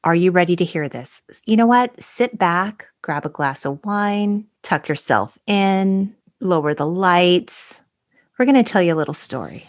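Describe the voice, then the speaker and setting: A woman's voice: fairly low pitch, lively intonation, a little slow. A middle-aged woman chatting casually as the co-host of a podcast. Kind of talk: casual chat.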